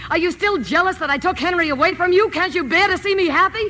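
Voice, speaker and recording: High-pitched, demanding bedridden wife. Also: female voice, fast talker. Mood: indignant, accusing. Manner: demanding, accusatory, agitated. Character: imperious, frightened bedridden wife, suspicious and demanding. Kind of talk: old-time radio drama acting. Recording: old radio recording, loud music behind.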